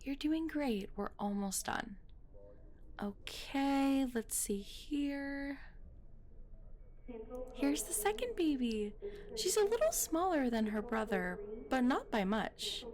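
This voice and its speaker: A woman playing a kind, professional hospital midwife in an audio roleplay, explaining things gently and patiently. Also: high-pitched, very animated, takes it slowly, a crowd in the background, heavily acted. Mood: gentle, reassuring.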